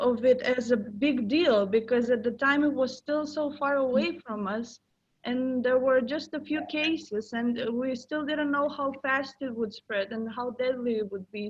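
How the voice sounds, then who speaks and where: A young woman student giving an articulate, measured answer in an online seminar over a video call. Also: on the high side. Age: young adult. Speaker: student participant. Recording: dull lo-fi video call audio.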